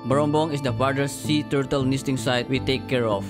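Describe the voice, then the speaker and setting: Filipino guy, tagalog native, calm doc narration, clear music bed behind him. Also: mid-range voice, a little fast. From Philippines. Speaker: marine biologist and community coordinator. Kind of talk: scripted documentary voice-over. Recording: voice-over with music underneath.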